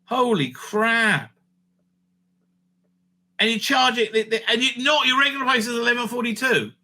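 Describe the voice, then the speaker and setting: A man's voice: very high voice, normal speed, pushed and bright. A man giving casual, off-the-cuff, opinionated reviews in a rambling way, often tagging his phrases with a questioning "right?". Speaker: host of a livestream review show. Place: indoors at home.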